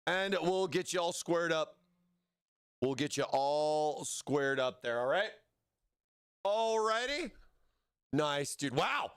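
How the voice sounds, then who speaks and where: A man hosting a livestream giveaway, excitable and playful as he hypes up his chat, his voice strained from overuse. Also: high-pitched, clean tone. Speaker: livestream host.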